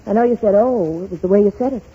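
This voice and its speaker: An actress playing a fretful, devoted and gently teasing wife in 1930s radio drama style, fussing and soothing by turns. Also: female voice, mid-range voice, husky, lightly acted. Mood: defensive. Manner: fussing, quick to bristle, then soothing. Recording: muffled, noisy 1930s radio recording.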